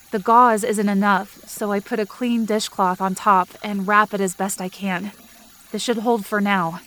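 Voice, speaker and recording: A woman's voice: mid-range voice, lightly acted. A woman playing an anxious, injured young camp counselor, narrating a first-person audio drama in a tense, dramatic way. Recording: rain and ambience behind the voice. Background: rain and outdoor ambience effects.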